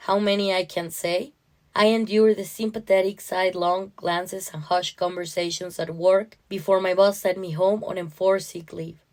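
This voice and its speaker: A woman narrating a first-person story in a measured, expressive way. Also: medium pitch. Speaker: short story reader.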